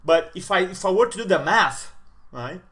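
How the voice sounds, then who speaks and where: A man in his forties with a slightly raised voice delivers a motivational talk to camera. He is fired up, stacks repeated superlatives and moves from big loud peaks down to hushed, serious lines. He sounds like a coach rallying his audience. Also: sits high, animated, normal speed. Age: 40s. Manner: enthusiastic, repeats words for emphasis, swings loud and soft.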